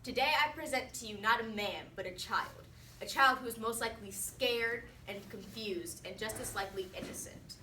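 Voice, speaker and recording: A woman's voice: a little high, moderate pace, bright, forceful tone. A teenage girl giving a prepared persuasive student speech, repeating phrases for rhetorical emphasis. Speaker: student presenting a mock defense speech. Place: indoor classroom. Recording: roomy, distant mic, faint noise.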